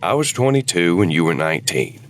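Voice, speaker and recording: A man's voice: low voice, sing-song, brisk, slight background noise. A man playing a weary older husband and war veteran, regretful but devoted, in an audio drama. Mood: wistful, regretful.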